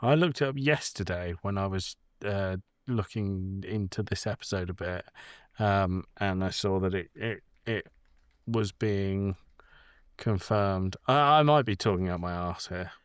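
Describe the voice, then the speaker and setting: Low-voiced man chatting casually. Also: unhurried, clean tone, dry recording, studio quality. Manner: informal, sweary, rambling explanations. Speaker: film podcast co-host. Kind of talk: casual film chat.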